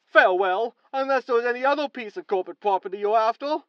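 A woman's voice: fairly high pitch, fast, no echo, highs cut off, lightly acted. A woman playing a slick, cynical tabloid media boss in a sci-fi comedy audio drama, with a sardonic, theatrical manner. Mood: sarcastic. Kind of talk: sitcom audio drama acting.

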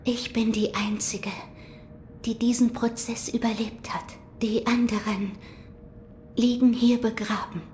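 An actress playing a gentle, mystical shrine maiden in an audio drama, speaking in a solemn, measured, hushed manner. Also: female voice, fairly high pitch, flat delivery, a little hiss or hum, close to an everyday voice.

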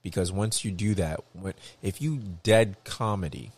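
A man's voice: sits low, moderate pace, a little mellow, breathy edge. A man co-hosting a podcast in a casual, slangy, bantering chat. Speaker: podcast co-host.

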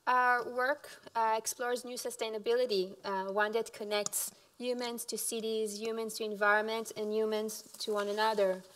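Flat-toned woman presenting at a podium. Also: fairly high pitch, normal speed, few pauses, slight background noise. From Israel. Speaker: architect giving a talk. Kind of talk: lecture with slides. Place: dark auditorium stage at a podium.

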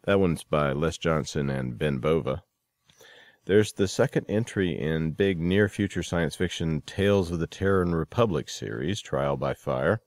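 A man with a notably low voice speaks as a podcast host. He delivers announcements and introductions in a presenter's style. The sound is dry, with hardly any room on it. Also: a little slow, a little mellow, no room sound. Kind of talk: scripted promotional reading and podcast introductions.

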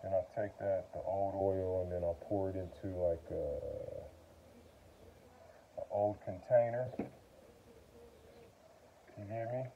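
A middle-aged man giving a casual, halting how-to in folksy slang while working outside, recorded on a phone camera. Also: sits low, fairly even intonation, phone-quality sound. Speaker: vlogger demonstrating generator maintenance. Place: outside by a wooden porch. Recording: noisy outdoor phone recording.

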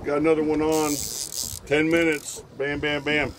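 A man captaining a fishing boat, giving brisk instructions and loud promo hype. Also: a little high, completely flat delivery, a little hiss or hum. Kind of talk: on-boat coaching and promo talk. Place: open fishing boat at sea.

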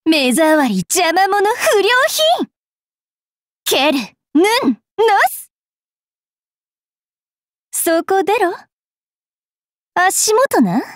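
A woman playing a haughty, cruel young fairy priestess in an anime game, sneering and taunting with a rough mouth. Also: extremely high pitch, no room sound, clean studio sound, heavily acted.

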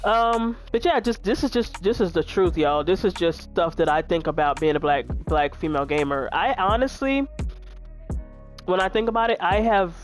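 A woman in her twenties with a deep voice, chatting candidly to her viewers while she plays a video game. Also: moderate pace, soft background music. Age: 20s. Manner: frank, chatty, talks straight to viewers. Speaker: gaming YouTuber.

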